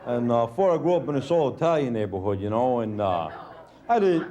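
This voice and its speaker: A middle-aged Brooklyn-accented man works a stand-up crowd with a slow, deadpan delivery, his pitch rising and dropping in lively swings. The live club recording carries clear background noise. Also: lo-fi sound. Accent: Brooklyn New York. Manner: laid-back, deadpan, lots of fillers like "you know" and "uh". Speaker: stand-up comedian. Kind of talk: stand-up comedy set. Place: comedy club stage with laughing audience.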